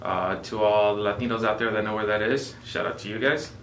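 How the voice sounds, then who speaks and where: A young man in his twenties from Mexico talks through a casual vlog Q&A, easygoing and heavy on "um"s. His fairly low voice has a bright edge. He sits back from the mic in a roomy space with a faint hiss behind him. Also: brisk, recorded from a distance. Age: 20s. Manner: relaxed, filler-heavy, joking. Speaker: vlogger co-host. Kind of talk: casual chat.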